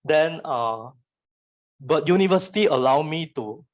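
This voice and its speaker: A young man with a Malaysian accent and Chinese as his first language gives an informal talk to students as a guest speaker, in a halting, colloquial way that often repeats phrases. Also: on the high side, expressive. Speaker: guest speaker, photographer and digital artist. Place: online virtual session. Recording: muffled, lo-fi online call audio.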